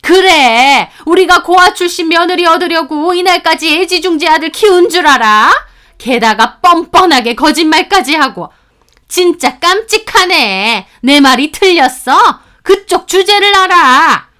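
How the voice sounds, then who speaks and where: A woman voicing a boyfriend's scornful middle-aged mother who scolds and sneers in a shrill voice. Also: animated, a little slow, bright, forceful tone, heavily acted. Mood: contemptuous. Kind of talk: storytelling with acted dialogue.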